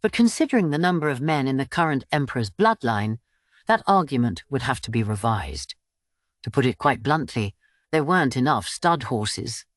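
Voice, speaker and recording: A woman's voice: a bass voice, dry recording, studio quality. A woman reading scripted story narration for an audiobook.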